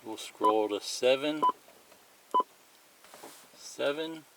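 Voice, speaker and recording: A man's voice: mid-range voice, drawn-out words, heavy background noise. A man giving a plain, methodical, unscripted step-by-step demonstration of a product as a tutorial presenter.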